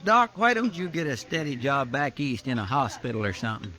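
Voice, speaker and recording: An actor playing a naive, loyal western sidekick, folksy, hesitant and respectful, with an American accent in old radio drama style. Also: male voice, mid-range voice, lively intonation, brisk, bright, forceful tone. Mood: innocent curiosity. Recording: slightly noisy old radio recording.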